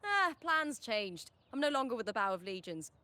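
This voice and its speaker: A woman's voice: on the high side, big pitch swings, moderate pace, sharp tone. A woman voicing a confident, spirited young gladiator and friendly battle partner in a video game. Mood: confident, explaining.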